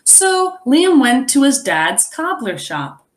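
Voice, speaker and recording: A woman reading a picture book aloud as a teacher, expressively, pausing to explain and think aloud for young children. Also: high-pitched, sing-song.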